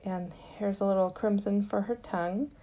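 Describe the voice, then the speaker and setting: A woman giving a casual, gently explanatory tutorial narration in an unhurried way, as the host of a craft lesson. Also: medium pitch, steady tone.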